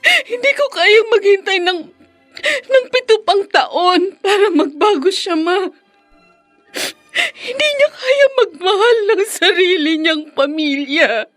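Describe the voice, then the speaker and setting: Young filipina mom in a radio soap, high voice, super expressive, music behind. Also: female voice, slow pace, heavily acted. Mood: tearful, anguished. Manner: emotional, melodramatic. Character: anguished young wife and mother, worn down by waiting. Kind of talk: Filipino radio drama acting.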